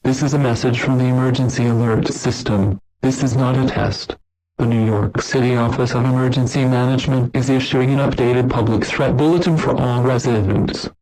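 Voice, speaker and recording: A man delivers a scripted emergency broadcast as an announcer, formal, measured and impersonal. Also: mid-range voice, fairly even intonation, darkish tone, low-quality recording.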